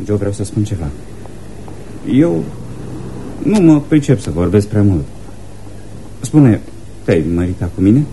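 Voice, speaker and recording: Shy, very mellow young sweetheart. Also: male voice, lowish voice, animated, long pauses, slight background noise, rough recording. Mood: earnest, hesitant. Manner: hesitant, tender, earnest. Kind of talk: radio theatre acting.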